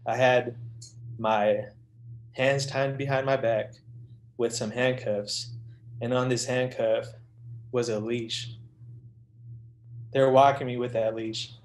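A man in his early thirties with a mid-pitched voice, speaking very slowly in halting pieces. He talks into a webcam with faint room hiss. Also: flat delivery. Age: 30s. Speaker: formerly incarcerated interviewee. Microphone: webcam on a video call.

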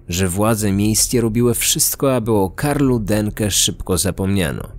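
A man reading scripted true-crime documentary narration in a measured, serious way. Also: fairly low pitch, a little mellow.

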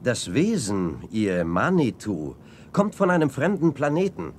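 Lively, decisive young hero. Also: male voice, medium pitch, a little slow, a little hiss or hum. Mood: earnest. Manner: urgent, explanatory. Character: decisive young male hero, earnest and helpful. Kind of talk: radio play acting.

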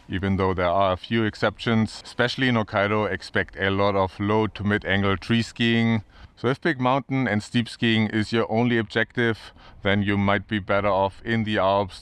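Upbeat man talking outdoors, smooth voice. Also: on the low side, fairly bright tone, dry recording. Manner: upbeat, chatty and informal. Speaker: ski vlogger. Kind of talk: casual presenting to camera. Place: outdoors on snowy mountain slopes.

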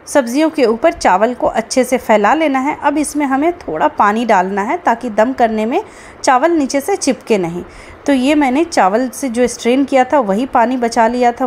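A high-voiced woman presents a recipe as a cooking host. She explains each step plainly and speaks straight to her viewers. There is noticeable noise behind her voice-over. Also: darkish tone. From India. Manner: explanatory, addresses viewers as friends. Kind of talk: recipe narration, step-by-step instruction.

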